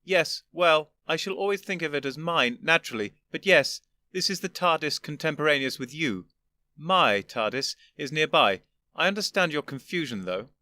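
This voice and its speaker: A man doing a condescending elderly gentleman. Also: on the high side, lively intonation, normal speed, dry recording, pitched above the performer's own voice. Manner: precise, lecturing, wearily patient.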